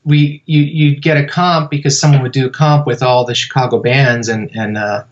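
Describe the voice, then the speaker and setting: Male podcast guest, mid pitch, loose rambling storyteller, slightly muffled audio. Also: fairly even intonation, moderate pace. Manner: rambling, many fillers and restarts. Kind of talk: casual storytelling interview.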